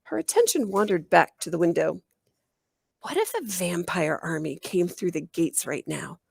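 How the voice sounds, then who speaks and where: A woman reading a children's book aloud in an animated, expressive storytelling style. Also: lowish voice, normal speed, gravelly, breathy edge, dry recording, clean studio sound. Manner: animated, acts out dialogue.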